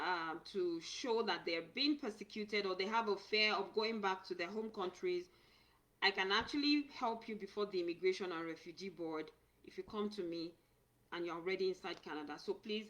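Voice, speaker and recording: A woman's voice: mid-range voice, moderate pace, sharp tone, poor audio. A woman who works as an immigration consultant, giving earnest advice on a live stream and often repeating or restarting words as she speaks. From Nigeria. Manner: earnest, repeats and restarts words, reads viewer comments aloud. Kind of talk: live-stream talk answering viewers.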